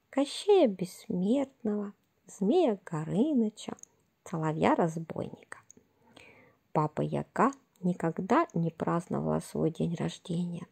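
Slow, breathy woman telling fairy tales. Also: on the low side. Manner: unhurried, gentle bedtime-story delivery. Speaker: storyteller and author of children's fairy tales. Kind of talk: slow scripted fairy-tale narration for children.

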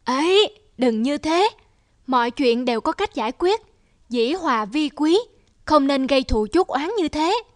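A woman voicing a calm, conciliatory male god in a cartoon, soothing and moralising as a storyteller. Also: sits high, measured pace, no echo, studio quality.

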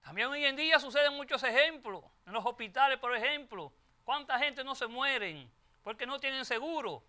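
Older male priest, high bright timbre, preaching a homily into a lectern mic in a chapel. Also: expressive. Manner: measured, emphatic preaching with repeated phrases. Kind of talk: Gospel reading and homily at Mass. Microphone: lectern microphone.

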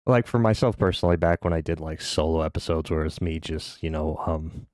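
Deep-voiced American man hosting a podcast. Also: brisk, darkish tone, no room sound, clean studio sound. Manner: rambling, many fillers. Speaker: podcast host. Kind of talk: casual podcast interview.

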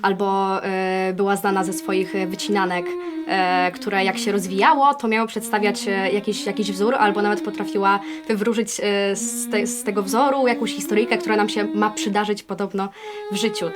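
A young woman sharing personal recollections informally, with a halting delivery. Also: medium pitch, steady tone.

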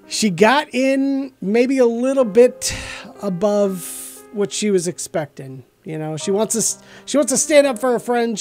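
A man's voice: high voice, a little mellow. Give the voice of a middle-aged American man hosting a card game series. He talks casually through each play into a close desk mic, with music playing behind him. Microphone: close desk microphone.

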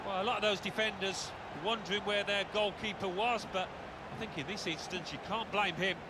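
A man acting as football co-commentator, giving calm, reflective analysis of the play. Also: high voice, fairly quick. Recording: in-game commentary with game noise.

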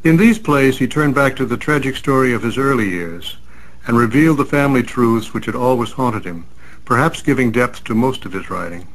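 A man reading a scripted commentary in a formal, literary, measured manner. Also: medium pitch, rough recording. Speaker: commentator, series artistic director.

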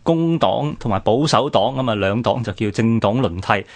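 A man's voice: mid-range voice, animated, moderate pace. A man with a Hong Kong Cantonese accent co-hosting a radio programme, discussing history in a conversational way.

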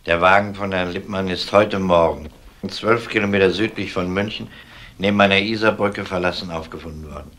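An actor playing a composed, probing police detective in a crime radio play, questioning politely and methodically. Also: male voice, deep voice, fairly even intonation, fairly quick, fairly bright tone. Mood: calm, businesslike.

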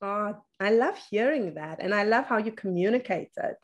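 A woman's voice: mid-range voice, lively intonation, normal speed. A woman in her thirties hosting an interview over a video call, asking encouraging questions. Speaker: host. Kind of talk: casual interview. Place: office, indoors.

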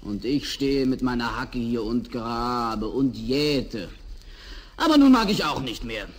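An actor playing a crafty, lazy, cheeky peasant in a 1960s fairy-tale radio play, theatrical and self-satisfied. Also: male voice, mid-range voice, sing-song, slow pace. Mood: weary, curious.

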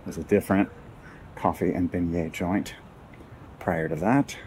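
A man strolls through a city park and talks to the viewers in a relaxed, wry way. His voice sits very low. A faint outdoor ambience runs under it from the camera mic. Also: a little slow, slight background noise. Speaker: walking tour guide. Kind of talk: casual narrated walking tour.

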